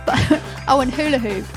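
A woman's voice: sits high, unhurried. A woman comedian giving casual, giggly, self-deprecating answers as a guest. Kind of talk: casual answers to quick questions. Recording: music bed under the voice. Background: music.

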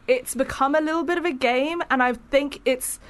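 A woman with a fairly high voice talks as a podcast guest. She is frank and outspoken, with lots of casual fillers. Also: moderate pace. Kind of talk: casual conversational interview.

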